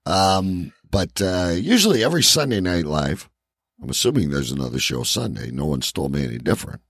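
Gravelly low-key podcast host, a man. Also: big pitch swings, fairly quick, dry recording, clean studio sound. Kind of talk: casual comedy podcast chat and plugs.

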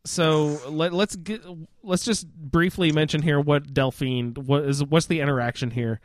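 A man's voice: fairly high pitch, fairly even intonation, a little slow, no echo. Casual man hosting, dry close sound. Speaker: podcast host. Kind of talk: casual roundtable discussion of a video game.

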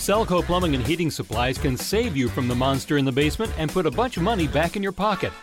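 Lively male radio ad announcer. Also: fairly high pitch, quick pace, music in the background. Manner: upbeat, playful ad delivery. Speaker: commercial announcer. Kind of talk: radio ad read.

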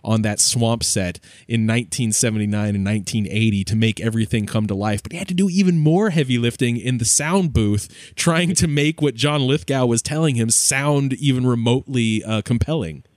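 A man's voice: medium pitch, normal speed, a little mellow, dry recording. Man hosting a chat show, conversational, mid-range voice, close dry studio-like sound. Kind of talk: casual chat.

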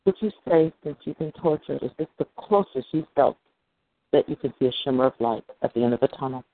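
A woman's voice: extremely low pitch, lively intonation, fairly quick. Woman hosting over a phone line. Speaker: conference call host. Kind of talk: moderating a call, informal questioning. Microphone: telephone. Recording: narrow, muffled phone line.

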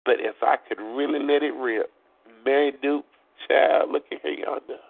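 A man's voice: medium pitch, normal speed, vocal fry. A man preaching at a live church service, praying in rhythmic repeated phrases and joking with the congregation, his voice stuffed up. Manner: chatty and joking between songs. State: congested, stopped up. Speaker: prophet and preacher. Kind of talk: fervent live church prayer and exhortation. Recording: tinny phone-like livestream audio.

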